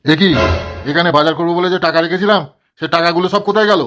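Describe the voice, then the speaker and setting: A man's voice: high-pitched, steady tone, very fast, fairly bright tone, faint music behind. A man voicing a grumpy, crude middle-aged village husband in a cartoon comedy, who swears and complains constantly. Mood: grumbling.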